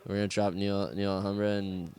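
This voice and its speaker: A man's voice: sits low, little pitch movement, brisk, darkish tone, clear, resonant tone. A young man with a Southern US accent, talking casually and ramblingly in an interview about his music, swearing now and then. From American South. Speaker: musician guest.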